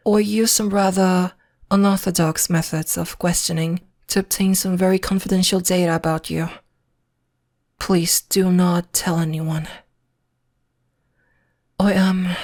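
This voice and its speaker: Slow, low-voiced British vampire lady acted by a woman. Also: darkish tone, close to an everyday voice. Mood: apologetic, polite. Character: refined, possessive, courteous centuries-old female vampire, lovestruck. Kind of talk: ASMR roleplay, intimate scripted monologue.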